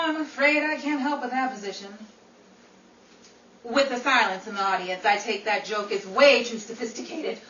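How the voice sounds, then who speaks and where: A woman's voice: a little high, a bit bright, natural voice. A woman playing a vain, wisecracking Hollywood actress in an old-time radio comedy style, theatrical and sassy. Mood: wry. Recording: roomy, distant, dull sound with faint noise.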